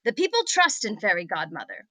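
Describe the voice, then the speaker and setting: A woman's voice: medium pitch, huge pitch swings, brisk, sharp tone, no room sound, full character voice. A woman playing an earnest peasant man pleading politics formally in a table read. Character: drab male peasant delegate, earnest, petitioning politician. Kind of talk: comedic screenplay table read.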